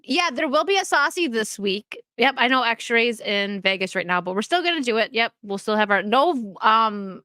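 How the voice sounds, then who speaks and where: Bright-voiced hoarse woman streamer. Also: fairly high pitch, expressive, normal speed, few pauses, no echo, studio quality. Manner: chatty, giggly, addresses chat directly. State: hoarse, losing her voice. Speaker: streamer hosting a chat show. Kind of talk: casual livestream chat. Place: home streaming setup in Florida.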